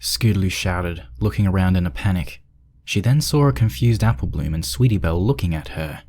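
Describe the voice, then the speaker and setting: Low-voiced man narrating a horror story. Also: fairly quick, a little mellow. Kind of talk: dramatic horror story reading, scripted narration.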